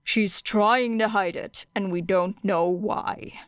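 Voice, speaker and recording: A gruff, grumbling man, played by a woman reader, blunt and unyielding in an audiobook. The voice is smooth and clear, sitting at a medium female pitch, recorded dry. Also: steady tone, slow, no room sound, lightly acted. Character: gruff, grumbling, unyielding male hero teacher. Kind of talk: audiobook character acting.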